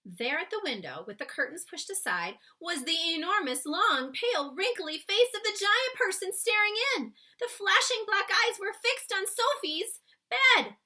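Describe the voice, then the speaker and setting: A woman reading a children's story aloud in an expressive, suspenseful way, stretching key words for emphasis and chatting to young listeners. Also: very high-pitched, moderate pace, hardly any pauses. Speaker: children's book read-aloud reader.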